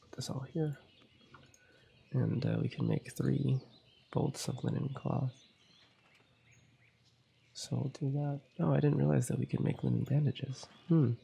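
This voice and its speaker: Gravelly soft-spoken man with a cold. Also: medium pitch, animated, a little slow, long pauses. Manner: quiet, slipping into whispers. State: has a cold. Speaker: ASMR gaming channel host. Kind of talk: soft-spoken ASMR gameplay commentary.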